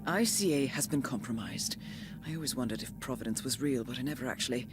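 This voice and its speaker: An actress playing a composed, authoritative female mission handler in a video game, speaking in a crisp, formal, measured way. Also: extremely low pitch, noisy background. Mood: grave, urgent. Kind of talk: scripted game dialogue and mission briefing.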